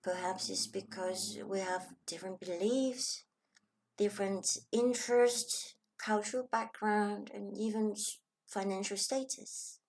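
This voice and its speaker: Slow, gentle woman with Hong Kong accent. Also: medium pitch, steady tone, creaky, rough recording. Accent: Hong Kong Chinese. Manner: gentle, thoughtful, dropping at times into a soft whisper. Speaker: channel host. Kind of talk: reflective personal talk.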